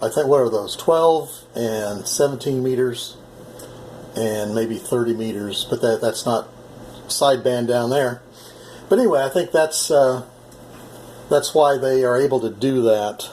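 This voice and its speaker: Friendly guy doing a casual ham radio chat, medium pitch, halting, bit of background noise. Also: darkish tone, poor audio. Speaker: amateur radio operator.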